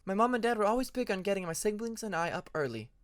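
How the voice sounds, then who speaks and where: A man acts as a young female sea dragon in a fantasy storybook. She is friendly and easygoing, talking casually in a raised pitch, with a dry, close sound. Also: animated, fast talker, no echo.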